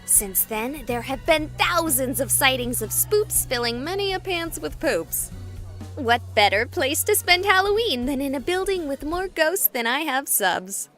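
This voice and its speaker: A woman playing a goofy, excitable young livestreamer in a visual novel, chatting playfully with exaggerated enthusiasm. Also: high-pitched, measured pace, faint music behind. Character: excitable, goofy young female livestreamer exploring haunted places.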